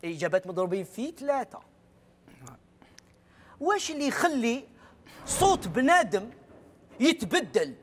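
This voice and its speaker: A man's voice: very high voice, very animated, normal speed, long pauses, slight background noise. A middle-aged man with an Algerian accent, hosting a TV game show on a big studio stage and teasing the contestants.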